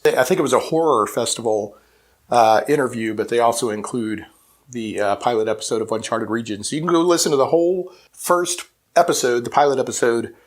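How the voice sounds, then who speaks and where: Casting: male podcaster, average pitch, plain informal announcements to listeners. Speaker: podcast host. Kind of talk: informal explanatory podcast insert.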